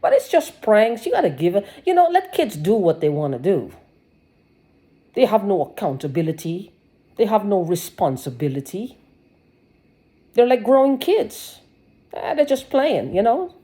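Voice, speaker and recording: A woman's voice: mid-range voice, sing-song, normal speed, pauses often, warm tone. A woman giving a rambling personal video monologue, agitated and sarcastic, swearing and restarting her sentences. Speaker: vlogger.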